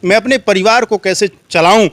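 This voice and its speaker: Indian male interviewee, high voice, dry close sound, earnest political commentary with emphatic repetition. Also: normal speed, fairly bright tone, no echo. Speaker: street interviewee.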